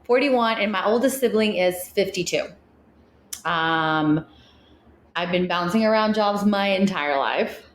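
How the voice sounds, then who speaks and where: A woman's voice: medium pitch, measured pace, echoey room, a little hiss or hum. A woman in her thirties from Mexico, Spanish her first language, co-hosting a podcast with blunt, dry jokes. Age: 30s. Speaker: podcast co-host. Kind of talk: casual chat.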